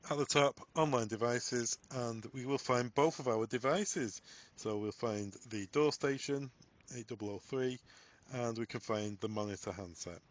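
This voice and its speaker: Man narrating a step-by-step software tutorial. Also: mid-range voice, normal speed. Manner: instructional, step-by-step phrasing. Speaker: tutorial presenter. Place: voice-over on a screen recording.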